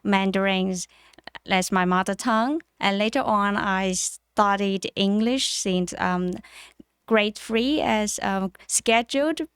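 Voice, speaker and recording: A woman from China with a Chinese accent and Cantonese as her first language, answering interview questions in a measured way with frequent ums and pauses. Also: medium pitch, fairly bright tone, smooth, no echo, clean studio sound. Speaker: interview guest, MBA student. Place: in person at a summit.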